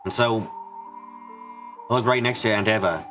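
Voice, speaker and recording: A man giving an earnest amateur dramatic reading of a visual novel, halting for dramatic pauses. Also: fairly low pitch. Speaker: amateur fan dubber. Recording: music behind the voice. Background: background music.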